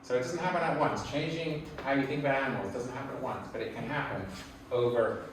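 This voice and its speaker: A middle-aged man with an English accent speaks to a room in an informal, reflective lecture style. His voice sits at an ordinary male pitch. It is picked up from a distance, with heavy echo and faint noise. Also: quick pace. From England. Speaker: speaker giving a public talk. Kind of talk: conversational slide lecture. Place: indoor talk venue before an audience.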